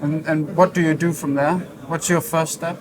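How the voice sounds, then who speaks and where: A man's voice: a little high, level tone, normal speed. A man hosting a conversational interview on location, putting questions to a guest. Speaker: interview host. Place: busy hi-fi trade show hall. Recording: crowd chatter around the voice. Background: crowd chatter.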